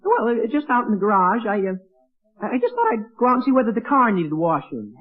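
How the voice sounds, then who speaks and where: A man's voice: sits high, very animated, brisk. An actor playing an anxious, evasive teenage boy with a stammering, whiny manner in an old radio sitcom. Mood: nervous, evasive. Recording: dull, lo-fi vintage radio recording.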